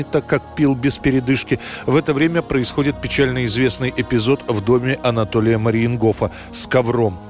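A man narrating a scripted history programme in a measured, storytelling way. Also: mid-range voice.

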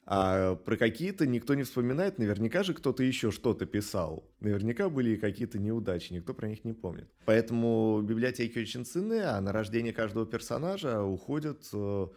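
A middle-aged man giving thoughtful interview answers in a reflective, measured way with hesitant pauses. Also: fairly low pitch, animated, darkish tone. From Russia. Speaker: animation studio director, interview guest.

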